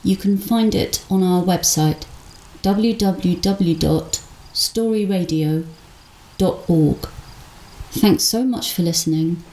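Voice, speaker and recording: A woman's voice: fairly low pitch, slow pace, dark tone. A woman hosting a story podcast, speaking in a scripted announcement style in a reverberant room. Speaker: podcast host.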